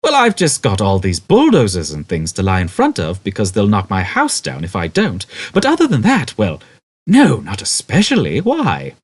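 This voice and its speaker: A man's voice: huge pitch swings, moderate pace, husky, exaggerated voice. A man voicing a flustered, indignant ordinary man in an audiobook, protesting in exasperation. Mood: sarcastic, exasperated. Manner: protesting, high-strung.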